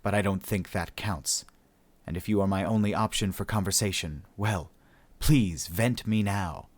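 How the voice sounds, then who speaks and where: A man's voice: sits low, normal speed, no echo. A man voicing an irritable, haughty alien prisoner in a sci-fi audiobook, formal and biting. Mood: sour disdain.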